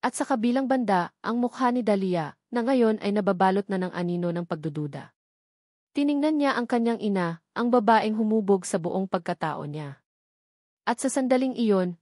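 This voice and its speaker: A woman's voice: medium pitch, a little mellow, no echo, studio quality. A woman storyteller giving a scripted dramatic narration in an emotive, solemn way.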